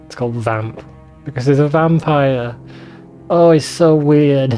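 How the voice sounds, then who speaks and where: A man's voice: on the high side, unhurried, mellow. A man giving informal, sweary gaming commentary as a let's play host. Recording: faint game music behind voice. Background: game soundtrack.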